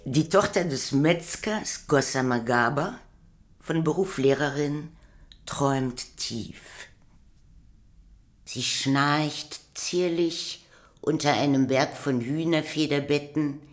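A woman narrating a literary radio play in a deliberate storytelling manner. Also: sits low.

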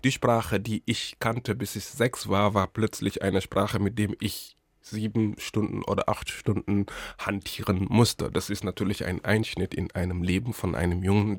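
A man's voice: on the low side, no room sound. A man from Senegal, answering as a guest in a radio interview, thoughtful and searching for words. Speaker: guest, literary scholar and curator.

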